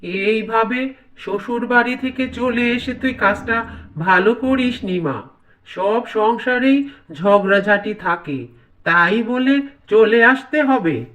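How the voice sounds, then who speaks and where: A man voicing a gentle, pleading elderly father in a cartoon, speaking in a drawn-out, placating way. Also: very high-pitched, lively intonation, exaggerated voice, low-quality recording. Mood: reproachful. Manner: slow, drawn-out, placating speech. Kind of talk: cartoon voice acting.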